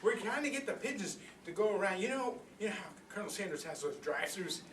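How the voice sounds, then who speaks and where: A man's voice: high-pitched, animated, quick pace, fairly bright tone. An older man playing a proud, philosophical old rooster in a folksy, rambling comic monologue. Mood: conspiratorial, indignant. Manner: folksy, rambling, conversational storytelling with repeated phrases. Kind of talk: comic monologue performed live for an audience. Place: indoor workshop room before a group. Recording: echoey room, distant mic, background noise.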